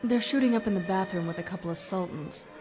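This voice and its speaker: A woman's voice: medium pitch, quick pace, close to an everyday voice. An actress voicing a cool, dry-humored half-vampire woman in a game cutscene. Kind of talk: video game cutscene acting. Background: background music.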